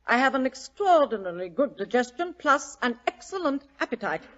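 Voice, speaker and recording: A woman's voice: sits high, very animated, a little fast, fairly bright tone. An actress playing a vain, imperious, portly middle-aged society lady, grand and melodramatic, in an old-time radio drama. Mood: emphatic, indignant. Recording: dull old radio broadcast recording.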